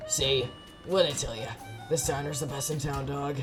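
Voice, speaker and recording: A woman's voice: extremely low pitch, fairly even intonation, moderate pace, over music, voice pushed down. A woman voicing a disheveled orange cat, a slangy, pushy, conspiratorial street hustler in a visual novel. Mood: smug.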